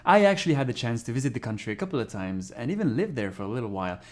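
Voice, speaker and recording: A man's voice: medium pitch, big pitch swings, very fast. A man who is a non-native English speaker giving a casual, frank video lesson on learning a language. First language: not English. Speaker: language teacher YouTuber.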